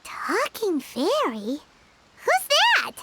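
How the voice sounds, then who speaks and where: Squeaky, excitable little fairy. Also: female voice, very slow, heavy background noise, exaggerated voice. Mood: curious. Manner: excitable, speaks of self in third person. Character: small childlike talking fairy companion, female, chirpy and curious. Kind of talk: video game character acting.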